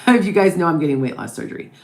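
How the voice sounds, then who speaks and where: A woman's voice: on the low side, big pitch swings, a little fast. A woman with a Wisconsin-Chicago blended accent, a psychic tarot reader chatting through viewers' questions on a live stream and tagging her points with "okay? all right?". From American Midwest.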